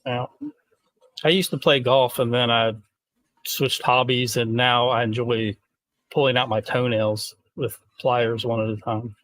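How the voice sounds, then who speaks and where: A man chatting on a livestream, joking in a deadpan way. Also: mid-range voice, unhurried, dry recording, low-quality recording. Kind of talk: casual livestream chat.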